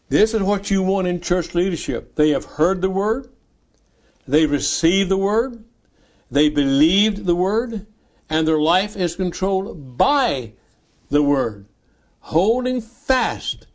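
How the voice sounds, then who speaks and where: A man in his nineties preaching a sermon as pastor, repeating key phrases slowly and with emphasis. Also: fairly high pitch, a little mellow. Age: 90s.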